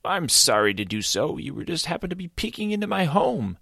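A man's voice: very animated, brisk, creaky, sharp tone, breathy edge, dry recording, studio quality, exaggerated voice. A man voicing a small, kind, blue-skinned male creature who welcomes a stranger into his home. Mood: friendly. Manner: high, eager, welcoming.

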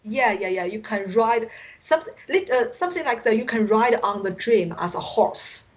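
A woman's voice: a little high, animated, normal speed. A woman from China, a native Mandarin speaker with a Chinese accent, talks casually as an interview guest, hesitant and often chuckling. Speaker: guest author. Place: Skype internet call.

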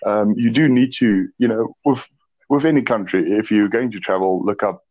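Low-voiced South African man chatting. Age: 20s. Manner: measured, chatty, slips in "uh" and "um". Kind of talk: casual chat. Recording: muffled low-fidelity audio.

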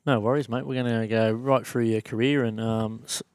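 A man's voice: medium pitch, fairly even intonation, normal speed, a little mellow, no room sound, studio quality. A man with an Australian accent co-hosting a podcast, chatty and calling everyone "mate". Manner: matey, says mate constantly. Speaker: podcast co-host. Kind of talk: casual podcast chat.

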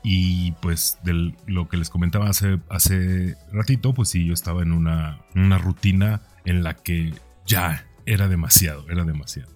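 A man's voice: low voice, moderate pace, hardly any pauses, darkish tone, soft background music. A man in his forties hosting a podcast, talking through his own life in a conversational, introspective monologue, often checking his thoughts with a questioning tag. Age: 40s. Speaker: podcast host. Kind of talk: reflective personal podcast monologue.

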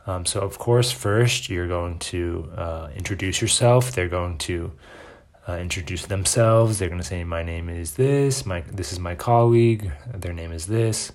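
A man's voice: low voice, unhurried. A young man, an English language assistant, talking casually through exam tips with frequent ums and fillers. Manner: relaxed, many ums and filler words. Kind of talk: casual exam-prep lesson to camera.

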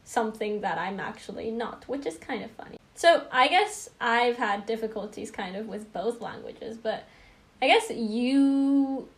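A woman's voice: a little high, expressive, unhurried. A young woman co-hosting a podcast with an accent between American and British. She teases in a friendly way and laughs easily. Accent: mixed American and British. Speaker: podcast co-host. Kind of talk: casual chat.

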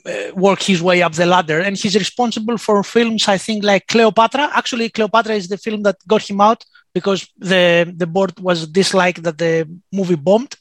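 A man with a Greek accent, a native Greek speaker chatting casually in English, talking fast and telling stories with many "uh" pauses. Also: high-pitched, steady tone, fairly bright tone, no room sound. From Greece. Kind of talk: casual chat.

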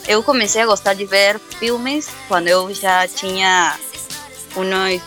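A young woman guest, speaking Portuguese slowly and carefully. Her voice is bright and clear, and she stops often to restart words. Faint music plays behind her. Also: medium pitch, fairly even intonation. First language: Spanish. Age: young adult. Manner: hesitant, halting, often restarting words and laughing. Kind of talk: live interview.

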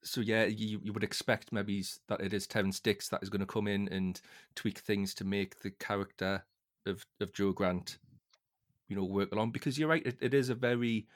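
Man, lowish voice, podcast host, casual discussion, halting delivery with repeated words. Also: steady tone.

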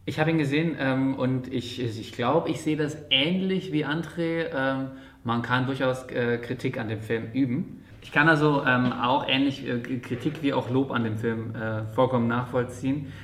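Guy in echoey room, mid pitch, casual movie talk, hesitates and rewords stuff a lot. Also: steady tone, normal speed, fairly bright tone. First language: German. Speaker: podcast co-host, English teacher. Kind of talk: casual film discussion.

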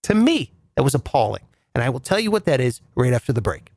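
Reedy nasal-voiced man, animated. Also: medium pitch, fast, vocal fry. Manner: animated, mock-outraged storytelling. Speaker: radio show host. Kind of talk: comic monologue.

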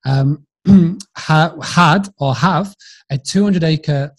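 A man's voice: on the high side, very slow. A man in his forties with a Yorkshire accent, a podcast guest telling his story in a friendly, chatty way, often restarting and repeating words. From England, Yorkshire. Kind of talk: casual storytelling interview.

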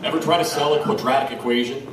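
A man's voice: mid-range voice, fairly even intonation, fast talker, crowd noise, lightly acted. A man playing a wry herbalist and gunsmith in an audio drama, droll and matter-of-fact. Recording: echoey, distant, faint ambient noise.

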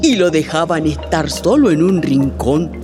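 A woman playing a Colombian grandmother telling spooky village legends to children, in a suspenseful, expressive way, for a radio play. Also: low voice. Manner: slow, suspenseful, expressive storytelling. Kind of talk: theatrical radio storytelling. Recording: music behind the voice.

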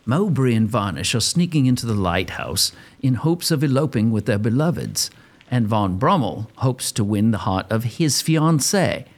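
A man narrates in a playful, theatrical storytelling style. His voice is middling in pitch, rough-edged and highly animated. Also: moderate pace.

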